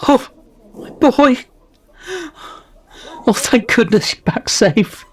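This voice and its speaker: Male actor as doting mother, raised pitch, gushing, affectionate, swooping delivery, radio play. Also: expressive, slow pace, exaggerated voice. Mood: doting, relieved. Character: doting, fussing mother of a young hero.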